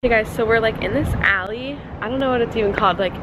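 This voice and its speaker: A teenage girl chatting casually into a handheld camera outdoors, bubbly and giggly with lots of "like", her voice a bit hoarse. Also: fairly high pitch, fast, music in the background, rough recording. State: slightly hoarse. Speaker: vlogger. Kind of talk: casual vlog chat. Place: outdoors on city streets. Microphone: handheld camera at arm's length. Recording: loud street and traffic noise.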